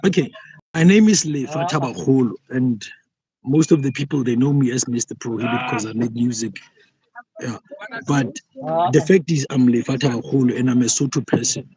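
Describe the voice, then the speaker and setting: A man's voice: mid-range voice, normal speed, a crowd in the background, poor audio. A young South African man on a phone video call from a taxi, arguing his point in a casual live debate and repeating himself as he goes. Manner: argumentative, repeats himself, frequent "like". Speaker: call-in guest.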